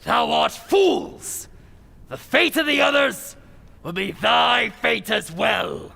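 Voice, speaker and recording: A vengeful ghost lord shouts archaic threats in a very high, gravelly shriek. The delivery is slow and menacing, old radio drama style, ringing through a long cavernous reverb. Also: male voice, very animated, exaggerated voice. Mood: menacing fury. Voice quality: raspy, strained shriek.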